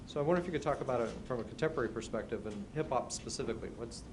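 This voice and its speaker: A man's voice: mid-range voice, racing. A man moderating a panel, asking long questions with many hesitant fillers. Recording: noisy room recording.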